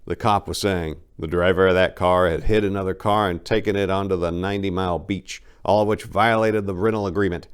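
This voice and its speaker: A man's voice: low voice, fairly even intonation, fairly quick, hardly any pauses, smooth. A man reading a first-person story as dramatic audiobook narration, in a folksy, colloquial storytelling manner.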